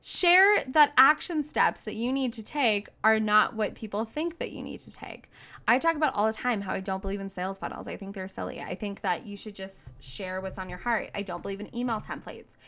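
A woman hosting a motivational coaching podcast on her own. She speaks emphatically in a medium-pitched voice, with an outgoing manner and informal, slangy wording. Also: a little fast, bright, forceful tone. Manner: outgoing, self-described big voice. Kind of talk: motivational solo podcast talk.

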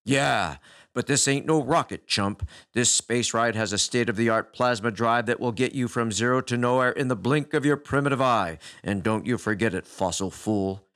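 A man voicing a weary, touchy male space alien who talks like a growling tough guy, deep and gravelly. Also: normal speed, fairly bright tone, natural voice. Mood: scornful.

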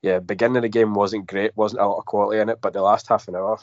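A Scottish man with a cold and a slightly croaky voice, chatting as a football podcast panelist, stumbling over repeated words. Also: lowish voice, fairly even intonation, fast talker, no echo. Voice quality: a bit croaky. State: has a cold. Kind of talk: casual football podcast chat. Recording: dry, dull lo-fi podcast audio.

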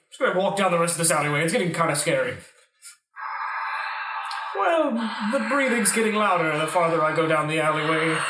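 A man's voice: fairly high pitch, far from the mic. A man acting a nervous young lawyer in an improvised radio play, muttering hesitantly to himself. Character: nervous young lawyer walking home alone at night. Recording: echoey room, off the mic.

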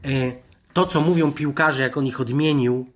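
A man talking through football opinions as a podcast panellist, in a halting, digressive way with many self-corrections. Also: medium pitch, steady tone. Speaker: sports journalist and podcast panellist. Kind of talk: animated football discussion.

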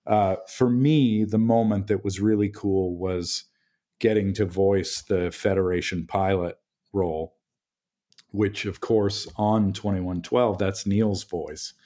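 Deep-voiced man, chatty and relaxed. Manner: relaxed, chatty, many fillers and restarts. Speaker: pinball game designer. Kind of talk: casual interview answers.

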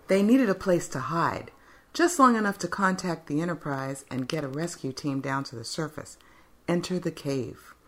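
A woman's voice: low voice, animated, moderate pace, a bit bright. A woman narrator giving a scripted dramatic reading of fan fiction.

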